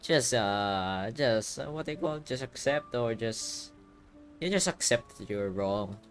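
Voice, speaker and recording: A man's voice: medium pitch, lively intonation, unhurried, faint music behind. A man giving casual playthrough commentary on a video game, informal, joking and sweary. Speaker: gaming video creator.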